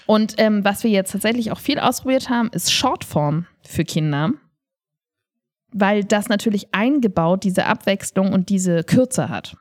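A woman's voice: mid-range voice, animated, unhurried. Woman in casual, emphatic podcast chat. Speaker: podcast co-host and improv performer.

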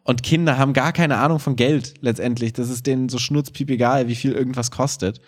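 A man's voice: medium pitch, fairly even intonation, a little fast. Casual man in conversation. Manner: colloquial, opinionated, acts out short quotes. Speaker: podcast co-host. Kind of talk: casual podcast chat about children's theatre.

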